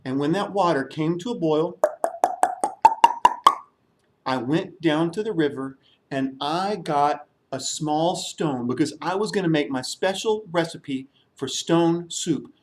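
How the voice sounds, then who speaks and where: A man telling a children's story in an expressive, interactive way, coaxing listeners to join in. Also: fairly high pitch, slow, background noise. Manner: expressive, coaxing audience participation.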